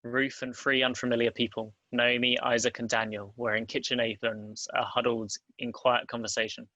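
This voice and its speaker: A man's voice: mid-range voice, little pitch movement, moderate pace, sharp tone, no echo, lo-fi sound. A man reading stage directions as scripted narration at a table read.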